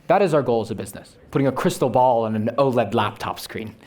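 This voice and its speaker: A young man talks fast and eagerly on a conference stage, pitching his company's vision. He often checks in with the audience by asking "right?". His pitch is mid-range for a man. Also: darkish tone. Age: young adult. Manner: rapid, punctuates with "right?". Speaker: startup founder on a panel. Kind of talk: fast, enthusiastic pitch talk.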